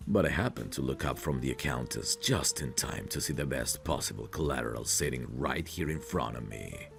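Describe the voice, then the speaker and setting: A man playing a possessive, protective mafia boss in an intimate audio roleplay, calmly menacing, commanding and smug. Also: exaggerated voice. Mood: amused, admiring. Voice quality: deep, gravelly. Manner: slow, commanding, smug, teasing endearments.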